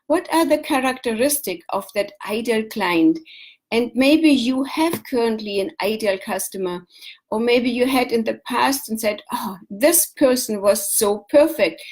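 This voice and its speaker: Woman host, German accent, higher voice, instructive, repeats points in lists. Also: darkish tone. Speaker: show host, business coach. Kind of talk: live radio talk show monologue.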